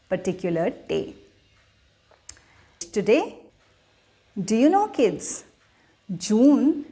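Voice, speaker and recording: Slow-paced woman teaching children. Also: mid-range voice, darkish tone. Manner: teacherly, deliberate, asking questions then answering them. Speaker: children's storyteller and channel host. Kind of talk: educational talk addressed to children.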